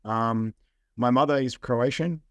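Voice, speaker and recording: A man's voice: mid-range voice, a little slow, dry recording. A young adult man with an Australian accent, chatting as a guest in a casual, rambling way with lots of fillers. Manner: laid-back, rambling with fillers. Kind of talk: casual interview.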